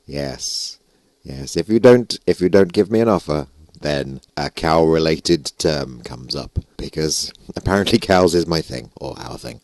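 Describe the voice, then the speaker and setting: British male gamer, hoarse and gravelly, very low, self-mocking rambler, dry sound. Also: expressive, unhurried, darkish tone, no echo. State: hoarse, gravelly voice after losing it. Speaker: gaming YouTuber. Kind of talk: casual let's-play commentary. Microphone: stage vocal mic close up.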